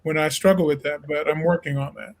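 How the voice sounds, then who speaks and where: Higher-voiced earnest male jazz singer. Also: fairly even intonation, a little fast. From Illinois, USA. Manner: earnest, reflective, candid. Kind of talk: casual interview talk.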